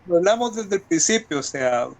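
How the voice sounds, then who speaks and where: A man with a Salvadoran accent talks as a writer being interviewed. His voice sits a little higher than average. He is colloquial and reflective, building his points from examples. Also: expressive, normal speed. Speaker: guest writer. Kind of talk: conversational interview talk.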